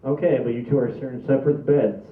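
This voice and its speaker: A man's voice: mid-range voice, fast talker, lightly acted. A man acting a dutiful, exasperated adult son in a comedy table read, dry and sincere. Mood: reluctant. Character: exasperated, dutiful adult son holding his family together. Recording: echoey, distant, thin phone-like room recording.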